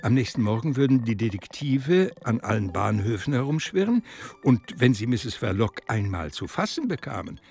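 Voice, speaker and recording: A man as the wry, literary narrator of a German radio drama, measured, articulate and ironic. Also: medium pitch, very animated, darkish tone, soft background music. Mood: tense, ironic. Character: wry, literary storyteller of a novel.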